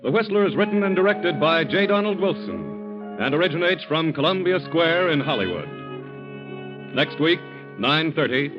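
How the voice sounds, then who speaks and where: A man's voice: on the high side, very animated, normal speed. An American man announcing formally in 1940s network radio style. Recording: muffled old broadcast, music behind.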